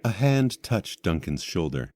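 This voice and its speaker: Very deep-voiced male fantasy narrator. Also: sing-song, a little slow, darkish tone, no echo. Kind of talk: scripted fantasy story narration.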